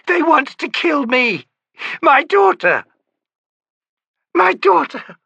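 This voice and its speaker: A terrified old mother wails and pleads, as a man puts her on, her voice pitched high and swinging wildly. The sound is dry and close. Each line breaks with desperation. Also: sing-song, unhurried, bright, forceful tone, no echo, dull audio, full character voice. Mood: hysterical. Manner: shrill, desperate, wailing. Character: terrified frantic old woman, a grieving mother.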